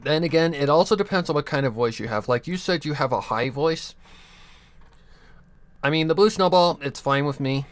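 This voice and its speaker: A man's voice: a little high, moderate pace, dry recording. A young man casually chatting on a livestream, giving rambling advice with restarts, chuckles and offhand swearing into a USB desk mic. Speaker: streamer. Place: home computer desk.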